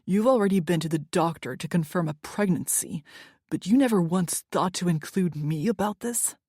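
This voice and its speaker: A woman's voice: lowish voice, lively intonation, a little slow, darkish tone, breathy edge, no room sound, clean studio sound, heavily acted. A woman voicing an angry young man who sounds cold and clipped and talks through clenched teeth. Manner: clipped, seething.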